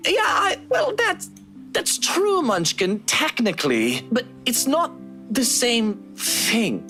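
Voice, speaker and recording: A woman's voice: a little high, very animated, takes it slowly, sharp tone, faint music behind, full character voice. A woman voicing a smug yet tender man in a visual novel game, drawling with doting endearments. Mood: flustered. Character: obnoxious but tender, smug adult man.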